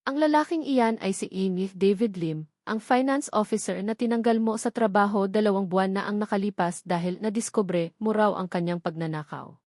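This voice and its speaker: A woman's voice: mid-range voice, moderate pace, a little mellow, no echo, clean studio sound. A woman with a Filipino accent narrating a scripted dramatic story.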